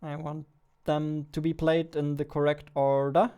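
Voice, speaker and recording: Higher-voiced young man, smooth and even. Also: unhurried. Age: young adult. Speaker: indie game developer vlogging. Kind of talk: casual work-along commentary, thinking aloud. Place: indoors at a computer desk.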